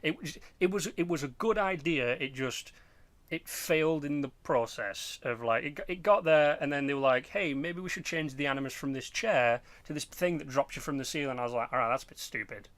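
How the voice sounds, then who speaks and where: A man chatting casually as a podcast host, rambling and halting, with loose swearing. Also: a little high, a bit bright, dry recording. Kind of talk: casual chat about game movies.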